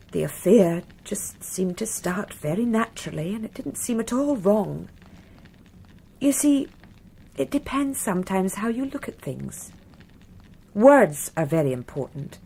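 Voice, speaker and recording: An actress playing a frank, wistful married British woman in a radio crime drama, with a breathy voice. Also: medium pitch, darkish tone.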